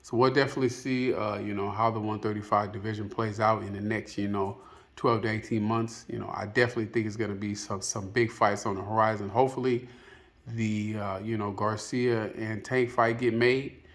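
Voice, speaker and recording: A man's voice: lowish voice, fairly even intonation, brisk. A man giving casual, unscripted opinion commentary as a video host, rambling and hesitant, often padding with filler phrases. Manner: hesitant, rambling, peppered with "you know".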